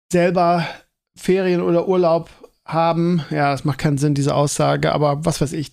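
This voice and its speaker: A man talks alone as a podcast host. His voice sits slightly high for a man. He speaks casually and colloquially, wandering through his thoughts and interrupting himself as he goes. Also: creaky, a little mellow. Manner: rambling, self-interrupting, colloquial. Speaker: school teacher. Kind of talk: casual solo podcast monologue.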